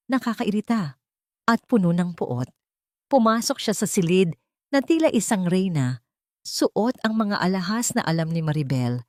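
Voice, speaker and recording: A woman narrates a dramatic audio story in a fairly low voice. The recording is dry, with hardly any room around her, and she reads as a scripted storyteller. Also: darkish tone, no room sound.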